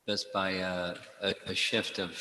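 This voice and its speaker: A man giving a devotional talk to a congregation, speaking in a measured way, repeating key phrases and quoting Sanskrit verses. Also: low-pitched, level tone, pushed and bright. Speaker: spiritual teacher. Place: temple hall. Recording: long reverb in a hall.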